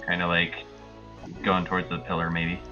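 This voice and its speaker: A man with a fairly low, bright voice describes his character's actions in a tabletop game. He is casual and tentative, pausing between phrases. The recording is dull, with faint music. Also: completely flat delivery. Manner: tentative, halting.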